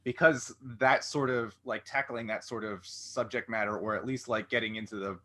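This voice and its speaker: A man with a bright, sharp-edged voice hosts a relaxed interview chat. His pitch sits a little low, and he feels his way through sentences, pausing to find the words. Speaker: interview host.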